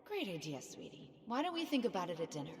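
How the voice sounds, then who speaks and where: Tender mother, very animated, reverberant. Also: fairly low pitch, fast. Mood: patient, encouraging. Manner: tender, patient, encouraging. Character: gentle, affectionate mother of young children. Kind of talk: game cutscene voice acting. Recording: long reverb.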